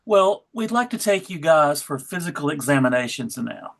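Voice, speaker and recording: A woman's voice: low-pitched, sing-song, normal speed, creaky, heavily acted. A woman playing a courteous, clinical official in a comedy sketch read, tentative and politely probing.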